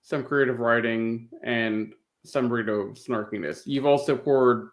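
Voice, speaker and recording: A man's voice: mid-range voice, fairly even intonation, measured pace. A man hosting a podcast, chatting casually through a review in a thoughtful, appreciative way and rephrasing as he goes. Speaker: podcast host.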